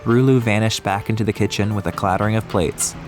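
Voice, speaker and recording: A man's voice: on the low side, fairly even intonation, fairly quick. A man narrating an atmospheric audiobook story from a script. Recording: music behind the voice.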